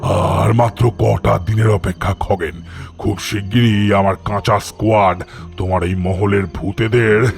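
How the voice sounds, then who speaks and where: An actor playing a wicked, gloating male tantric sorcerer who chants slowly and threatens with a gravelly voice. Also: on the low side, steady tone. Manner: slow chanting, mocking threats.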